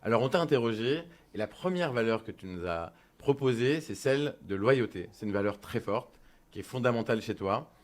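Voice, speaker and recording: A man's voice: medium pitch, animated, a little fast. A man hosting an interview, putting prepared questions to his guest with frequent filler hesitations. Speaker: interview host.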